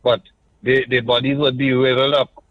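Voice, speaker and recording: Man, trinidadian accent, radio caller, measured argument, muffled dry line. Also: mid-range voice, flat delivery, dry recording. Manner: measured, argues point by point with frequent "right?". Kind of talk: call-in radio talk.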